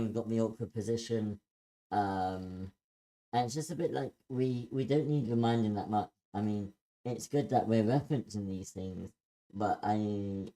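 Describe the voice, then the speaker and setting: Guy with a soft dark lowish voice, kinda halting, talking through notes on a script. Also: poor audio. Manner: hesitant, halting delivery. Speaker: participant giving script feedback. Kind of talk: informal group critique.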